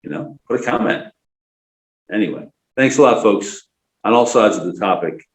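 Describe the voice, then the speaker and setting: A man hosting a talk show with casual commentary, speaking haltingly and checking in with listeners in folksy asides. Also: lowish voice, lively intonation. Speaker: host. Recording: roomy, echoing sound.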